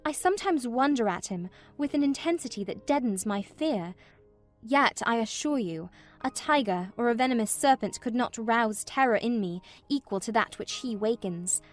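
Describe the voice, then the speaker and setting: A woman playing an unhappy young gentlewoman, recounting her troubles in measured literary narration for a dramatized audiobook. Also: a little high, expressive, soft background music, lightly acted. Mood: bitter dismay. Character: vexed, wretched young gentlewoman recounting in a letter.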